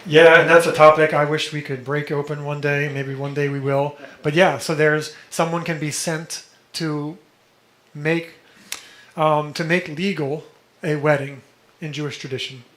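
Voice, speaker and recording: A man teaching a study group, explaining texts and often checking in with listeners, a little hoarse and clearing his throat. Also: on the high side, little pitch movement, measured pace, fairly bright tone. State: slightly hoarse, coughing. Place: indoor room with a vent. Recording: echoey room with background noise.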